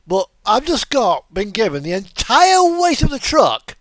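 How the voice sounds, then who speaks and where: A man giving casual gameplay commentary as host of a truck simulator video, rambling and halting with trailing asides. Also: very high voice, huge pitch swings, fairly bright tone, no echo.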